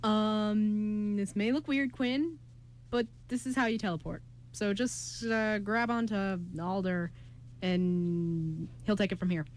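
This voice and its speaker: An earnest male time traveler in an amateur radio drama, acted by a woman. The delivery is halting and friendly, at a middling pitch. A faint noise sits under the recording. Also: steady tone, a little mellow, natural voice. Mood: casual, reassuring. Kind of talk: amateur radio play acting.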